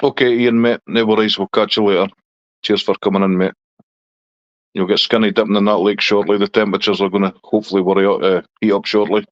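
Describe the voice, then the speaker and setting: A man's voice: on the low side, little pitch movement, fast talker, no room sound, lo-fi sound. A middle-aged man from Scotland with a Scottish accent, chatting casually on a live stream and answering viewers' comments.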